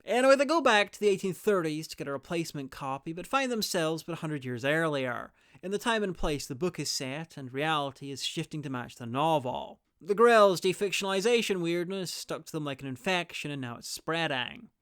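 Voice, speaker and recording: A man's voice: a little high, lively intonation, brisk, few pauses, fairly bright tone, clean tone, dry recording, clean studio sound. A man narrating a scripted review in an informal, opinionated and wry way, with casual asides. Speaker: reviewer presenting an audio drama guide.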